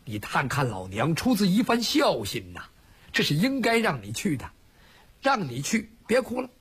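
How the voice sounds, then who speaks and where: Male storyteller as composed outlaw leader, lowered husky voice, deliberate and admonishing. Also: huge pitch swings, a bit bright, heavily acted. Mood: soothing. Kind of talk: traditional Chinese storytelling performance.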